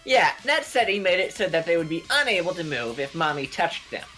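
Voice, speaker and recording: A woman's voice: on the low side, very animated, fairly quick, pushed and bright, soft background music, poor audio. A woman voicing a childlike robot bear cub, earnestly explaining things in a game fan dub.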